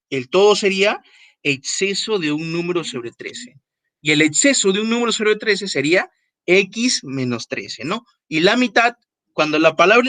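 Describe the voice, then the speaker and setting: A man teaching a math class online, repeating key phrases, stressing words slowly, and checking in with quick tag questions. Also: sits high, a bit bright, no room sound.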